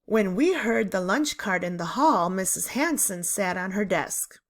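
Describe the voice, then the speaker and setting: A woman reading a children's book aloud in a deliberate, animated storytelling manner. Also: mid-range voice. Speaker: read-aloud narrator.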